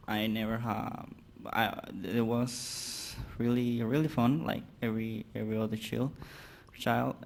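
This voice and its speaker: A seventeen-year-old young man from Havana with a Cuban Spanish accent, a student on a panel speaking halting, learner's English and often restarting his sentences. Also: mid-range voice, a little mellow. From Cuba, Havana.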